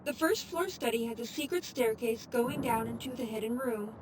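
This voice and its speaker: A woman's voice: fairly high pitch, lively intonation, moderate pace, noisy background, close to an everyday voice. A woman voicing a composed, formal AI assistant in an audio drama, giving information in a precise, matter-of-fact way. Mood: calm, informative. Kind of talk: audio fiction dialogue.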